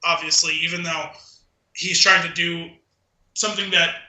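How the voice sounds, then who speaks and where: A man with a high-pitched, very sharp and bright voice co-hosts a casual podcast. He offers opinions conversationally, and the sound around his voice is noticeably roomy and echoey. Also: level tone, moderate pace. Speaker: podcast co-host. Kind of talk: casual opinionated film discussion.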